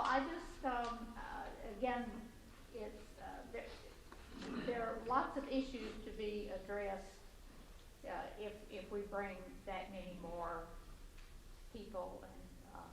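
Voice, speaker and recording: A woman with a loud, gravelly voice speaks up at a council meeting, stopping and restarting her sentences often. She sounds set back from the mic in an echoey community room with faint noise underneath. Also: medium pitch, distant mic. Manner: halting, many fillers and restarts, light laughs. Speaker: resident giving public comment. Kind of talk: public comment at a city council meeting.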